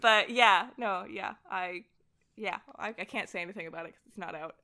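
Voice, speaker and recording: A woman podcast guest chatting casually, telling stories in an animated, self-deprecating way with frequent laughter. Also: mid-range voice, moderate pace. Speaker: voice actor. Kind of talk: casual conversational chat about fandom.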